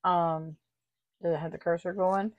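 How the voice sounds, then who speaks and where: Slightly raspy, low-pitched woman tutorial host. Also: flat delivery, unhurried, dull audio. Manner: friendly, chatty, step-by-step explaining. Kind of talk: casual tutorial walkthrough.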